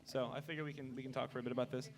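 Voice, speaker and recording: A man's voice: medium pitch, level tone, racing, crowd noise. A man leading an informal fan convention panel, speaking into a microphone before a live audience. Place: convention panel room, indoors. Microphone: handheld or table microphone. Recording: live PA recording with loud audience.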